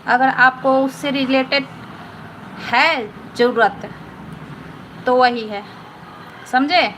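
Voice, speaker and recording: High-voiced woman chatting live. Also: steady tone, takes it slowly, a bit bright, a little hiss or hum, rough recording. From Patna, Bihar. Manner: chatty, repetitive, keeps asking "understood?". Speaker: live-stream host answering viewer comments. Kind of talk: casual live-stream chat.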